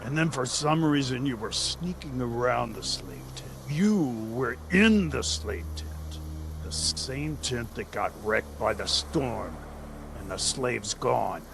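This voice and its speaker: An actor playing a proud, cruel slave trader in an audio drama, vengeful and deliberate, with theatrical threats. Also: male voice, medium pitch, lively intonation, gravelly, background noise. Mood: accusing, angry. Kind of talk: audio drama villain acting.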